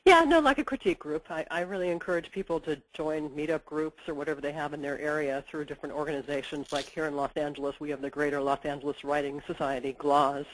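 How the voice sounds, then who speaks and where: A woman's voice: low-pitched, quick pace, hardly any pauses, no room sound, rough recording. A woman editor with a head cold, giving practical explanations in a webinar interview and often saying "you know". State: stuffed up with a cold. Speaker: book editor.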